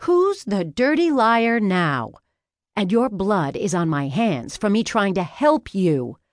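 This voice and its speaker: A woman's voice: medium pitch, expressive, unhurried, no echo, studio quality, voice pushed up, natural voice. A woman voicing a feisty, sarcastic female rookie private investigator, blunt in manner, in an audiobook story. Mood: incensed.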